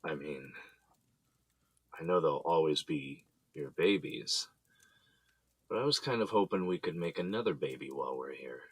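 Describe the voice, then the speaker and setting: A man's voice: extremely low pitch, lively intonation, normal speed, long pauses, slightly rough, no echo, exaggerated voice. A man playing an easygoing, affectionate husband and father, playful and coaxing, in a naturalistic audio drama.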